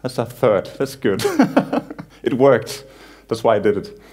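Danish man, 30s, conference speaker on stage in a cinema hall, easygoing and joking, stumbles a bit. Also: medium pitch. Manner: relaxed, self-deprecating humor with frequent halting restarts. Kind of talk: conference talk, casual and joking.

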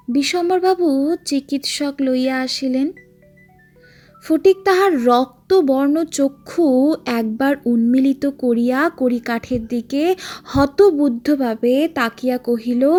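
High-pitched woman narrating slowly over music. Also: a little mellow. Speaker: audio story narrator. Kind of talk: dramatic literary story narration.

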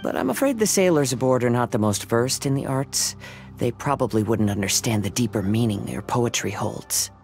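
A man voicing a polite, gentle young male samurai in a game, speaking in a courteous, even way. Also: medium pitch, normal speed, gravelly, soft background music.

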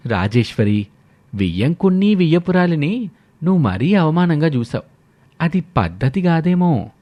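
A man playing a mild older father-in-law in a family moral story, gently reproachful and agreeable. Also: a little high, sing-song, moderate pace, highs cut off, voice pushed up. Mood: mildly reproving.

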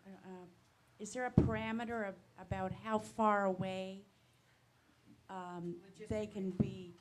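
A woman asking questions at a congregation meeting, hesitant with many pauses and fillers. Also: mid-range voice, steady tone, noisy background.

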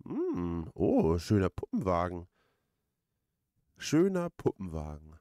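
A man giving casual gaming commentary, halting and repeating words as he rambles. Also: sits low, wildly swooping pitch, mellow, no echo, studio quality. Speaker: let's play host.

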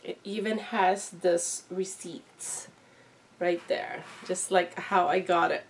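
Slow-paced, slightly congested woman vlogger. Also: on the low side, fairly even intonation, a little hiss or hum. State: slightly congested, recovering from flu. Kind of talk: casual unboxing vlog. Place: small indoor room at home.

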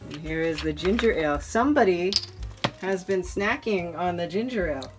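A woman's voice: lowish voice, lively intonation, normal speed, noisy background. A woman in her thirties hosting in a chatty, playful way with theatrical enthusiasm. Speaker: video host. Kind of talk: chatty demonstration and travel narration.

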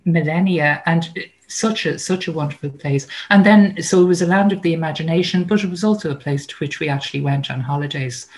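A woman's voice: low voice, a little fast, a little mellow, low-quality recording. Thoughtful Irish woman on a video call. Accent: Irish, Dublin. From Ireland. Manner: thoughtful, many fillers and restarts, light laughs. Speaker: author and guest. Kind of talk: reflective interview answers. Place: home study with bookshelves, indoors. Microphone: laptop webcam on a video call.

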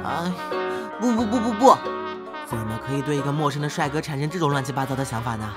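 A man's voice: on the high side, lively intonation, fast, over loud music, natural voice. A young man playing an earnest, easily flustered male traffic officer in an audio drama, prone to stammering.

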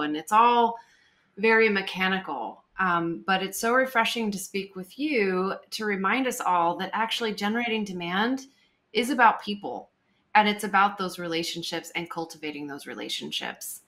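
A woman hosts a podcast from a room at home, speaking through earbuds on a video call. Her voice is bright and slightly low, and her manner is upbeat and affirming. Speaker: podcast host. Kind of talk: casual interview talk. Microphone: wireless earbuds on video call.

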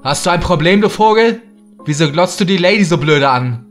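A man's voice: high-pitched, lively intonation, normal speed, fairly bright tone, soft background music, voice pushed up. Man playing a brash, tipsy young womanizer. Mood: angry, confrontational. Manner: brash, slangy. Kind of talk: horror audio story acting.

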